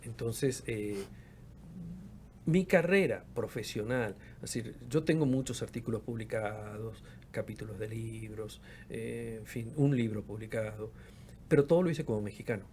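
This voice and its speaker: A man's voice: lowish voice, moderate pace, darkish tone, a little hiss or hum. A man in his fifties from Argentina's Patagonia, a researcher and professor, speaking reflectively as an interview guest and often repeating words as he searches for them. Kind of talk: casual interview conversation.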